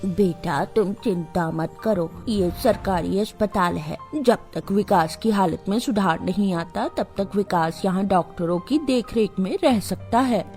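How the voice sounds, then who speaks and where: A woman's voice: medium pitch, a little slow, few pauses, darkish tone, soft background music, close to an everyday voice. A woman voicing a kind, reassuring elderly woman in a cartoon story.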